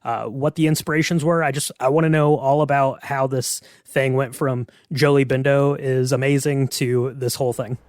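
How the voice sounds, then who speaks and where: A man's voice: mid-range voice, steady tone, moderate pace, hardly any pauses, dry recording. Man hosting, close dry sound. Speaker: podcast host. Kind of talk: casual interview chat.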